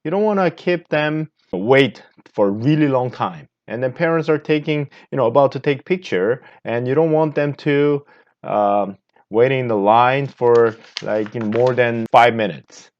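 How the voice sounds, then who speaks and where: Earnest Korean-accented martial arts master. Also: male voice, medium pitch, highs cut off. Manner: earnest, unhurried, with frequent pauses and fillers. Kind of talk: leadership lecture narrating slides.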